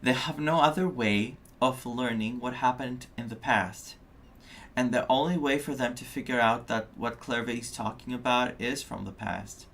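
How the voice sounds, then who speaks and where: A man's voice: mid-range voice, normal speed, creaky, fairly bright tone. A man streaming a game live, casually musing and reacting aloud.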